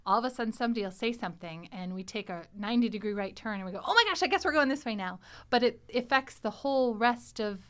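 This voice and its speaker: A woman hosting and editing a podcast, chatting in a studio, lively and quick to laugh. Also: on the high side. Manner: animated, laughs often. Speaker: podcast host and editor. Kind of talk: casual chat.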